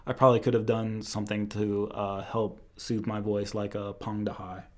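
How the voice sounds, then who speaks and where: A man's voice: fairly low pitch, little pitch movement, normal speed, a little mellow. A young man teaching Chinese medicine in a casual live-stream review, rambling a bit with restarts, his voice tired after two hours of lecturing.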